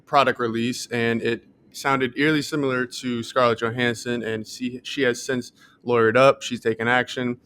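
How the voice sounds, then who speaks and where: A man's voice: mid-range voice, flat delivery, moderate pace, hardly any pauses, fairly bright tone, a little hiss or hum. A man co-hosting a podcast in a casual, conversational style, padding his thoughts with filler phrases. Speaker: podcast co-host. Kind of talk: casual chat.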